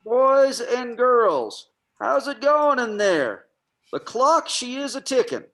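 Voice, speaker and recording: A man's voice: extremely high pitch, sing-song, slow, fairly bright tone, heavily acted. A man playing a menacing, mock-playful villain calling out to people he has trapped, in a horror script reading. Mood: taunting, mock-playful. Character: taunting menacing male villain calling out through a bullhorn.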